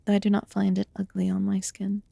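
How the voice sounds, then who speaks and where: A woman voicing a calm, mysterious immortal witch in a soft-spoken ASMR fantasy roleplay, with formal, archaic phrasing and a breathy voice that often slips into whispers. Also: mid-range voice, a little fast, no echo. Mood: calm, soothing. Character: calm, mysterious immortal witch tending a wounded human guest.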